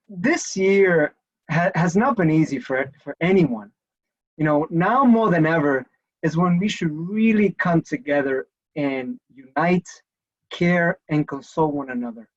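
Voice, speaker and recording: A man hosts a panel over a muffled video call, his voice sitting a little high. He talks earnestly, pausing on fillers and restarting phrases. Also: animated. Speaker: event host. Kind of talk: moderating a virtual panel.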